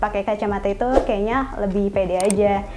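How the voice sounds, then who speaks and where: A young woman talks easily and chattily. Her voice is mid-pitched. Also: steady tone, a little fast, over music. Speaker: employee interviewee. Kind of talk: casual interview answers.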